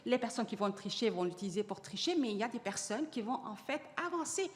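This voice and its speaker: A woman's voice: on the high side, animated, quick pace. A woman whose first language is Arabic, speaking as a panelist in a public discussion in an earnest way, often restarting her sentences. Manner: earnest, many restarts and fillers. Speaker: panelist on AI in education. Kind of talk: panel discussion answering audience. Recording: long hall reverb.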